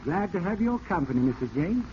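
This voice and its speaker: An actor playing a jaunty, courtly old professor, talkative and cheerful, in a 1940s radio drama. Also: male voice, high-pitched, very animated, brisk, mellow. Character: chirpy, courtly old male professor, self-styled encyclopedia. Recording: muffled, noisy 1940s radio recording.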